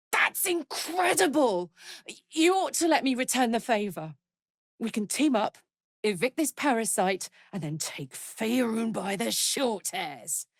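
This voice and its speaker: A woman's voice: a little high, dry recording, clean studio sound, heavily acted. An actress playing a bold, hearty young female soldier in a video game, brash and slangy with a playful streak. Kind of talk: expressive video game voice acting.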